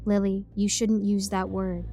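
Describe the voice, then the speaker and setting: A woman's voice: mid-range voice, level tone, unhurried, warm tone, faint music behind, lightly acted. A woman voicing a small male toy guardian, grave and earnest, in an audio story. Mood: stern.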